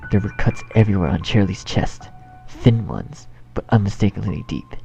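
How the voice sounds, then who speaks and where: A man's voice: sits low, expressive, normal speed, creaky, mellow, faint music behind. A man narrating a scripted fan fiction story as its storyteller. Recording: dull home recording with faint noise.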